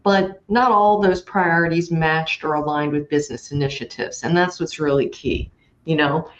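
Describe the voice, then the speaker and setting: Low-voiced woman host, slightly muffled sound. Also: normal speed. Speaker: podcast host, interviewer. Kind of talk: conversational interview.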